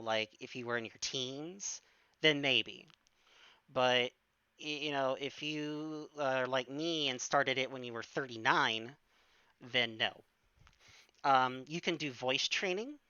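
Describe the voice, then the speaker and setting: Bright, crisp woman, slow and halting. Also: extremely low pitch, no room sound, dull audio. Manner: hesitant, many fillers and restarts. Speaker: stream guest. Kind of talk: casual Q&A chat.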